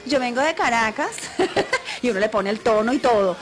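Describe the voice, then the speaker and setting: A woman's voice: on the high side, lively intonation, soft background music. A middle-aged Venezuelan woman hosting a radio show, talking in a chatty, upbeat way to her listeners. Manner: warm, chatty, listing names quickly. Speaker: radio host. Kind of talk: casual radio talk.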